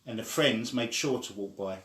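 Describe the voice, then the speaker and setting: A man reading a children's story aloud in an expressive way, acting out the quoted lines. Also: on the low side, moderate pace. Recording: echoey room.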